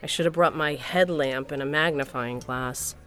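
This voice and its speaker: Low-voiced, expressive wife and mother. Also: moderate pace, faint music behind. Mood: wry, chatty. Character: chatty middle-aged mother and unsuspecting wife. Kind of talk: audio drama acting.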